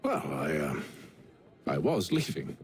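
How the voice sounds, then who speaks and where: An actor playing a composed, wry, educated English gentleman adventurer in a video game, with a British accent and a formal, dry manner. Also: very deep voice, huge pitch swings, slow, slight background noise, full character voice. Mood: wry, threatening. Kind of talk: video game cutscene acting.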